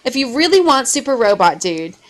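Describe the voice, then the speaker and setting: A woman's voice: high-pitched, very animated, fairly quick. A woman voicing a patient, sensible mother advising her young son in a storybook. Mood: firm, patient. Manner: instructive.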